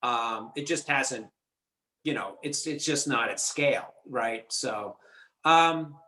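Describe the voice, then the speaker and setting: A man's voice: mid-range voice, slow pace, pushed and bright, echoey room, poor audio. A man asking a long, rambling question after a lecture, hesitant and full of restarts, over a video call. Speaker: audience member asking a question.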